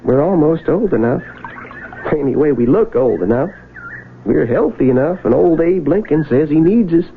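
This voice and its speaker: Folksy American farm youth, high voice, excitable and rustic; thin old-radio sound with hiss. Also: male voice, moderate pace, gravelly, narrow, tinny audio. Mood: earnest. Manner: rustic, folksy, exclamatory talk. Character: excitable folksy country youth, fishing with his friend. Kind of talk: 1970s radio drama acting.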